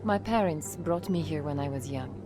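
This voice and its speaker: An actress playing an earnest, soft-spoken female doctor in a video game. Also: low-pitched, moderate pace, music in the background. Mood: grateful, friendly. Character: grateful female civilian doctor, gentle, earnest.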